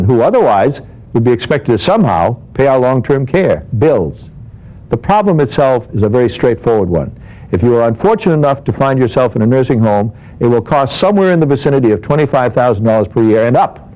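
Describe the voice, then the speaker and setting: Older male finance expert for an advice segment, dark relaxed tone, formal measured scripted delivery. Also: mid-range voice, low-quality recording. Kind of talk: scripted advisory monologue.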